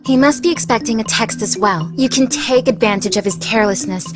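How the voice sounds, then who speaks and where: A woman playing a cool, sly teenage maid with a polite deadpan manner in an anime fandub radio play. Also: a little high, fairly quick, music in the background, lightly acted. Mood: sly coaxing. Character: cool, dry teenage maid to a rich girl.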